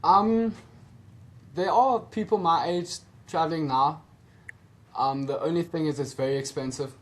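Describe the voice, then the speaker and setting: A man's voice: on the high side, unhurried. A teenage man from South Africa with a South African accent, an Afrikaans native speaker, answering interview questions casually over a video call with lots of hesitant ums. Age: teens. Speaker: guest, junior tennis player.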